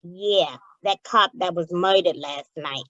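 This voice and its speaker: A woman's voice: lowish voice, slow, fairly bright tone, no room sound. Woman playing brash stool pigeon Piggy, dull dry sound. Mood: sly, knowing. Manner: slangy, brash, grumbling. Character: streetwise, pushy, indignant small-time informant called Piggy. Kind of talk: old-time radio gangster-comedy acting.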